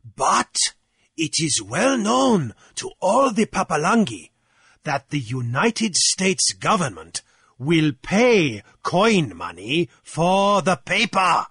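Story objector voiced by a male narrator: flustered yet stubborn, halting delivery, high-pitched and slow. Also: big pitch swings, a bit bright, husky, no echo, clean studio sound, heavily acted. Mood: halting, dogged. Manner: halting, spluttering, slow and insistent. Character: flustered, halting, dogged man challenging the chancellor.

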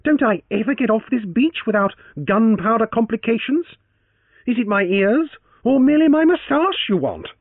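A man doing a flippant gentleman adventurer. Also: very high-pitched, very animated, moderate pace, no room sound, voice pushed up, full character voice. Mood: mocking. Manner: mocking, flippant banter. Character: wry, jaunty young gentleman adventurer. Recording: tinny narrowband, dry.

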